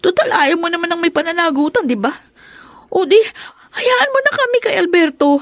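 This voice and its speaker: A woman's voice: very high-pitched, big pitch swings, moderate pace, dry recording, studio quality. A woman with a Filipino accent acting a young woman who stands up to her violent ex-lover in a radio drama. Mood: defiant. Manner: defiant, pleading.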